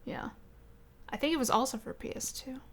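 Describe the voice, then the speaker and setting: A woman's voice: high-pitched, a little fast, fairly bright tone. A young woman hosting a casual gaming podcast, chatting in a hesitant, giggly way. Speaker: podcast host.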